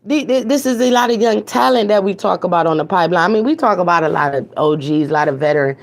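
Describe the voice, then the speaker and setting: A woman hosting an online talk show, hoarse and raspy from a cold with a stuffy nose, chatting casually and repeating herself to her viewers. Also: lowish voice, animated, fast. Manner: chatty, repeats phrases, talks straight to viewers. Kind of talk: casual livestream chat. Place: home with TVs on in the background.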